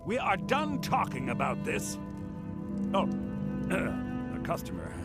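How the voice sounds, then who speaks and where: A man's voice: high voice, wildly swooping pitch, slow pace, heavily acted. A man playing an exasperated, fussy shopkeeper in a video game, emphatic and prone to stammering when flustered. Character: exasperated fussy male shopkeeper, bossy older brother. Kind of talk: video game character acting. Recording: loud music behind the voice.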